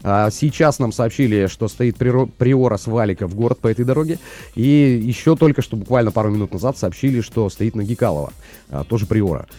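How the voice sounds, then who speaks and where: A man's voice: lowish voice, fairly quick, dark tone. A man hosting a radio show, chatting loosely with many fillers into a studio microphone. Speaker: radio host. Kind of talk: morning radio show chat.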